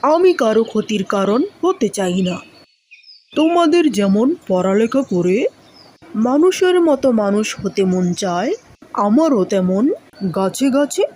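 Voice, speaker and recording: A woman plays a friendly unripe mango in a children's cartoon. It speaks in a medium pitch with lively swings, proudly explaining itself to young listeners. There is faint noise behind. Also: takes it slowly. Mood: earnest, friendly. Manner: instructive, addressing young listeners.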